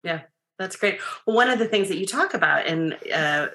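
A woman editor interviewing a guest, enthusiastic and quick to laugh. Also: on the low side, expressive, bright, forceful tone. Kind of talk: interview conversation.

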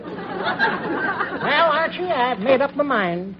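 Old bachelor farmer, rural American drawl, laconic, high voice, folksy radio comedy, heavy noise on a dull old recording. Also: male voice, huge pitch swings, very slow, crowd noise, exaggerated voice. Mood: stubborn, deadpan. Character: slow stubborn old bachelor hog farmer, male. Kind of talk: 1940s radio comedy acting.

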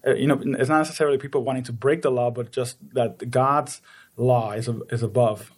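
Fast-talking halting man from the Dominican Republic. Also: medium pitch, fairly even intonation. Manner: earnest, halting with many restarts and laughs. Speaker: activist guest. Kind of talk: conversational interview.

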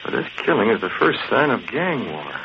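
A man's voice: medium pitch, sing-song, a little fast, vocal fry. A man playing a thoughtful, composed young newspaper publisher and sleuth in 1940s radio drama. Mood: pondering. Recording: muffled, noisy old radio recording.

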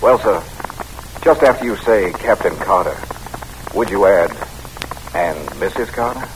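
A battle-worn captain, humble yet impassioned, lively intonation, vintage radio drama with light hiss. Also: male voice, deep voice. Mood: earnest, humble. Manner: earnest, halting, emphatic. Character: earnest, battle-scarred army captain, respectful and fervent. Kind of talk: 1940s radio drama acting. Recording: faintly noisy old radio broadcast.

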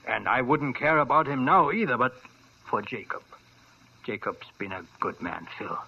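A man's voice: mid-range voice, expressive, moderate pace, gravelly, slightly rough. An actor playing a worried, earnest older male doctor who talks hesitantly, in 1940s radio style. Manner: earnest and hesitant, full of uh's. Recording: dull old radio recording.

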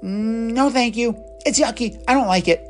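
A woman voicing an excitable little boy, a picky eater, in a children's story; she squeals and whines playfully. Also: fairly high pitch, normal speed, faint music behind, voice pushed up, natural voice. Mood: squeaky. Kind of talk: storybook character voice.

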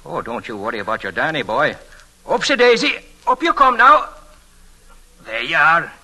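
An actor playing a cocky, flattering young charmer with sly menace, folksy and mock-humble, in old-time radio drama style. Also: male voice, a little high, normal speed, exaggerated voice, poor audio. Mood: jaunty, fawning. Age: young adult. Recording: vintage radio recording, clear background noise.

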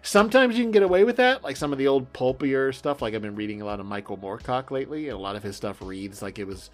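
Guy hosting a podcast, really animated pitch, sweary and joking around, casual chat. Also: quick pace. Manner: irreverent, sweary. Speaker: podcast host.

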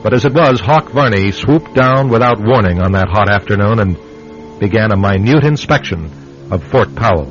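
A man narrating a radio drama from a script in a grave, measured, deliberate manner. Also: on the low side, animated. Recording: muffled vintage radio, music behind.

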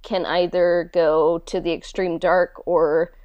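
A woman speaks in a casual, halting podcast style, with a lot of "like" as she goes. Her voice sits on the low side and is very clear and smooth, recorded dry and close. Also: flat delivery, a little mellow, dry recording, muffled recording. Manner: halting, conversational, frequent "like". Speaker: podcast host and creator being interviewed.

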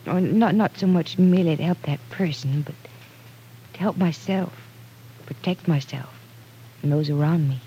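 Mellow, earnest young woman. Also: low voice, moderate pace, pauses often, a little hiss or hum, rough recording. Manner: earnest, insistent. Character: earnest, stubborn, idealistic young small-town woman. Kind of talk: old-time radio drama acting.

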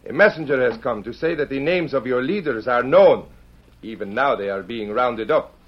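An actor playing a cruel, mock-courteous Mexican bandit leader with a Mexican accent in an old-time radio western. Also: male voice, mid-range voice, animated, normal speed, natural voice. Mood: smug, menacing. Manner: mocking, commanding. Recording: muffled old radio recording.

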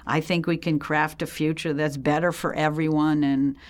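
A woman in her sixties with a Boston accent, speaking as an interview guest in an emphatic, animated way with frequent laughs. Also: deep voice, normal speed, no echo. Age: 60s. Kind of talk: energetic conversational interview.

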